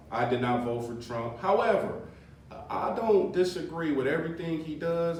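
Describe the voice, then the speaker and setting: A man hosting a podcast in a casual political chat. He talks loosely, chuckles often, and uses many fillers and restarts. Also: medium pitch. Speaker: podcast host. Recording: very echoey room, mic far off, faint noise.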